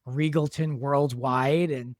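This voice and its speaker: A man's voice: medium pitch, fairly even intonation, slow, no echo, studio quality. A man talking casually as a panelist on a podcast about a drama. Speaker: podcast panelist from the show's team. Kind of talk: casual discussion of a drama episode.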